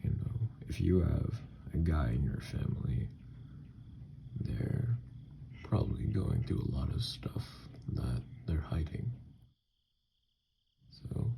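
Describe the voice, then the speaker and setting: A man, an ASMR voice actor, talking softly and personally to his listeners with a gravelly voice. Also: noisy background. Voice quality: gravelly, very low. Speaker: ASMR voice actor addressing viewers. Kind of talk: soft, personal sign-off to listeners.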